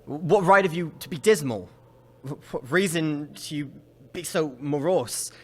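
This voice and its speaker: A man's voice: fairly high pitch, a little slow, a little mellow. A man playing a cheerful young nephew. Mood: earnest. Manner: earnest, pleading, good-natured. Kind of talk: live radio play acting.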